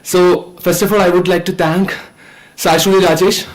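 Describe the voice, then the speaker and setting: A nineteen-year-old man with an Indian accent gives an earnest, halting, informal thank-you speech as a student filmmaker. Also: high-pitched, flat delivery, low-quality recording. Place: event stage at a film festival.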